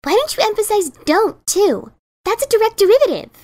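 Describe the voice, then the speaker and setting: An exasperated pegasus mare voiced by a woman in a storybook reading. Her voice is high and swoops with emphatic, scolding sarcasm. She is indignant and quick to take offense. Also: sing-song, a little mellow. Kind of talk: dramatic reading of a fan story.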